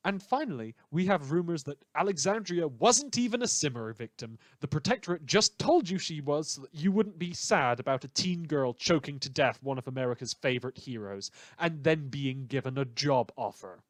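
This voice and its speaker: A man's voice: a little high, expressive, normal speed, hardly any pauses, no room sound, lightly acted. A man playing a wry, shady radio host who rambles sardonically and hesitantly, with dramatic emphasis, in a scripted fictional broadcast. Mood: mock-newsreader, dry.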